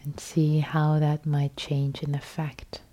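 A woman from Iran, Farsi her first language, leads a meditation in a slow, soft voice that often drops to a whisper. Her voice sits low and sounds deeply mellow and dark. The delivery is calm and unhurried throughout. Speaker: meditation teacher. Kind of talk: guided loving-kindness meditation.

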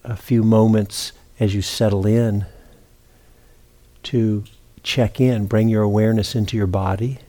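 Soft mellow guy leading a guided meditation, lowish voice, gentle and unhurried, lots of pauses. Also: steady tone. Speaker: meditation teacher.

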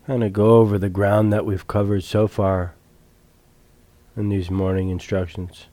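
A man teaching guided meditation in a calm, measured way, leaving long pauses between phrases. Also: fairly low pitch, dry recording. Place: retreat center meditation hall.

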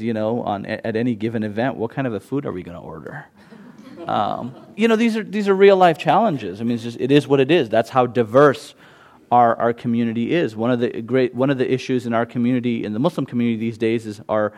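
A man's voice: medium pitch, expressive, brisk, darkish tone. A man, an imam, giving an informal talk to an audience at a meditation center, loose and conversational, with frequent fillers and restarts. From United States.

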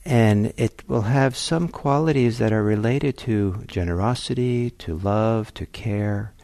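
Slow, low-voiced man guiding meditation. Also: dry recording. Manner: gentle, hesitant, reflective phrasing with long pauses. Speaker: meditation teacher. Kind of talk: guided meditation talk.

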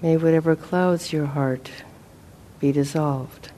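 A woman leading a guided meditation as a teacher, speaking gently and in a measured way with long pauses. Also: low-pitched, fairly even intonation, slight background noise.

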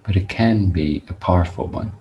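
A man teaching meditation, speaking in a deliberate, measured way with long pauses as he guides listeners. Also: deep voice, dull audio, slight background noise.

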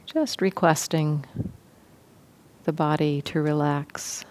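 Slow, low-voiced woman guiding meditation. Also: steady tone. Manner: gentle, unhurried, with long pauses. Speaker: meditation teacher. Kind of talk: guided meditation instruction.

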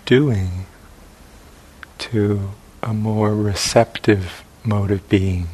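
A man leading a guided meditation as a teacher, speaking in sparse short phrases with long pauses between them. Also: on the low side, steady tone, a little mellow, breathy edge, low-quality recording. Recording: faint hiss and background noise.

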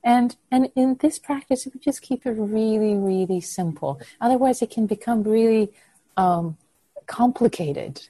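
Soft-spoken woman teacher with a mellow voice. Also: a little high, lively intonation, unhurried, breathy edge, dry recording. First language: Persian. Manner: soft, nurturing, frequent affirmations. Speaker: meditation teacher. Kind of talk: gentle group discussion. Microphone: video call.

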